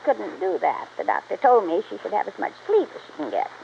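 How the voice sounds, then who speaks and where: A woman's voice: mid-range voice, big pitch swings, brisk, gravelly, darkish tone. An actress playing a genteel, falsely sweet woman in a 1950s radio drama, speaking in a fussy, theatrical manner as if innocent. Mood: fussy, evasive. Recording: dull, faintly noisy vintage radio recording.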